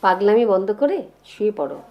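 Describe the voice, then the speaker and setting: An actress playing a disillusioned, scolding wife in dramatic dialogue, with a sharp, dismissive manner. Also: female voice, mid-range voice, sing-song, fast talker, lo-fi sound, natural voice. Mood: irritated.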